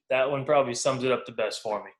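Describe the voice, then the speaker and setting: A man's voice: medium pitch, a bit bright. A man in his twenties, a professional ballplayer, talking casually and candidly in an interview, using colloquial, anecdotal phrasing. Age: 20s. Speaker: professional baseball pitcher being interviewed.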